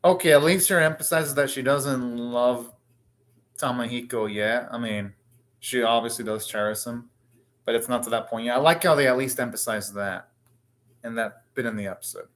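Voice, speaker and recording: A man with a medium-pitched voice gives live reaction commentary as an anime host. He speaks casually, rambling a little as he thinks aloud in short remarks. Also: moderate pace, fairly bright tone.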